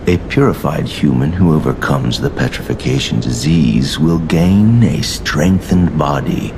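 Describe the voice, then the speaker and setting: An actor playing a grandiose, condescending alchemist leader in a video game, speaking with measured, mock-courteous pomp. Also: male voice, extremely low pitch, gravelly, background noise, heavily acted. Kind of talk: theatrical video game villain monologue.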